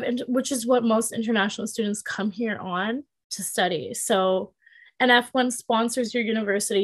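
Chatty young woman, slightly high voice. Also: fairly bright tone. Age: 20s. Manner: chatty, frequent "like" fillers, explains step by step. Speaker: guest. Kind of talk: casual chat.

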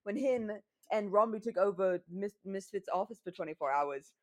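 A man's voice: high-pitched, expressive, normal speed. A man chatting as a podcast guest, stammering and joking around. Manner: stammering, playful. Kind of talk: casual chat.

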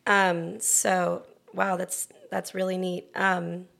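A middle-aged American woman speaks in a fairly low, gravelly register. She talks as a guest in a casual interview, chatty and pausing to find her words as she tells her story. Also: little pitch movement, a bit bright. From Florida and Texas, then Long Beach, California. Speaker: interview guest, restaurant owner.

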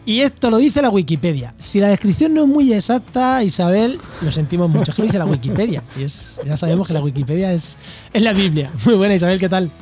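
A man's voice: high-pitched, lively intonation, fast, a little mellow, faint music behind. Chatty man hosting a podcast. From Castilla-La Mancha, Spain. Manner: chatty, jokey, self-deprecating. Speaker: podcast host. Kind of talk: casual podcast chat.

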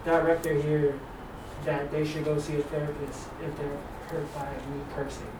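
A blunt, candid young man with a somewhat high voice pauses often and restarts with fillers. He sits far from the mic in an echoing room with steady noise behind. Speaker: student in a counseling program. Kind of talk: casual group discussion. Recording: echoey room, distant mic, background noise.

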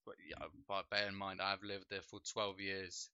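A man's voice: sits low, normal speed, smooth, dry recording. A young man from Poland, whose first language is Polish, chatting loosely on his livestream and padding his talk with "like" and "bro". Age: young adult. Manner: relaxed, rambling, heavy with fillers like "like" and "bro". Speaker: streamer and podcast host. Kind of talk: casual chat on a livestream. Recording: dull lo-fi home mic sound.

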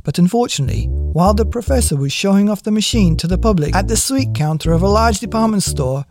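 A man reading a children's story in an expressive, scripted storytelling manner. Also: sits high, fast talker, music in the background. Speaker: audiobook reader.

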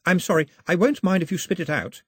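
A man's voice: high-pitched, expressive, fast talker, a bit bright, breathy edge, no echo, studio quality, voice pushed up, exaggerated voice. A man voicing an anxious, chatty shipwrecked young girl who rambles out apologies.

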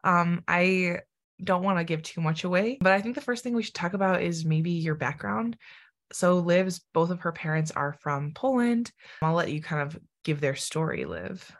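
A young woman hosts a casual podcast and steers the chat in an easygoing way. Her voice is on the low side, and she softens her words with plenty of hedges. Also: lively intonation, moderate pace. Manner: easygoing, hedging with "kinda" and "I feel like". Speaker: podcast host and interviewer.

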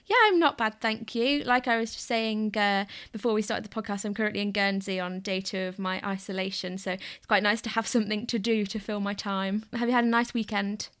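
A woman hosting a podcast, with a voice of ordinary pitch for a woman. She reads a scripted opening and then interviews her guest in a friendly, encouraging way. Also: steady tone, a little fast, few pauses, fairly bright tone, smooth. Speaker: podcast host.

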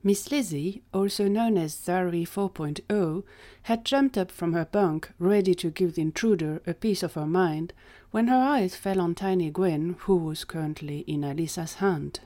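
A woman's voice: fairly low pitch, moderate pace, warm tone. A woman reading scripted story narration for an audio fiction episode.

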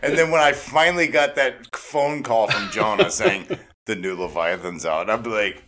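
A man chatting casually and excitedly as an interviewer and fan, gushing and repeating himself for emphasis. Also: medium pitch, normal speed, a crowd in the background.

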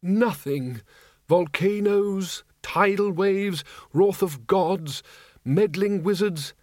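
A man voicing an elderly dead wizard who speaks softly and hesitantly, musing aloud. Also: sits high, takes it slowly, dry recording, studio quality, voice pushed up. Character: elderly dead male wizard, mild and thoughtful.

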